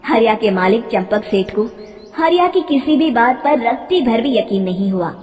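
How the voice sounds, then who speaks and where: A woman's voice: a little high, lively intonation, moderate pace, a little mellow, soft background music. A woman narrating a scripted story in a dramatic storytelling style. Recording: long reverb.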